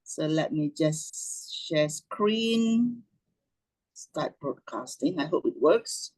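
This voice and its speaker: A middle-aged woman with a Malaysian accent and a husky voice, giving an informal online talk, often circling back and rephrasing. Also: sits low, expressive, very slow, a little mellow. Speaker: doctor and lecturer giving a talk. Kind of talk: informal online presentation, reminiscing. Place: online video meeting with screen share.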